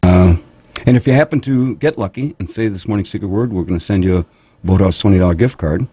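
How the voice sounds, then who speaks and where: A middle-aged man hosting a morning radio show with quick, teasing jokes. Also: sits low, dark tone, husky, dry recording. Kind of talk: casual morning radio banter.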